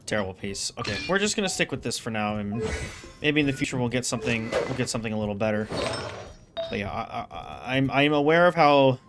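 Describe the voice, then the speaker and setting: A man's voice: medium pitch, lively intonation, quick pace, over music. A man giving casual, rambling game commentary, thinking aloud as he streams.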